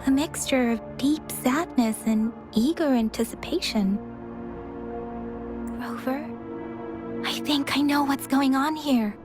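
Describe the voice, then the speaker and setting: Gentle young female game scout: high voice, unhurried, earnest, perceptive, music underneath. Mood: sorrowful, hushed. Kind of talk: video game voice acting. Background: background music.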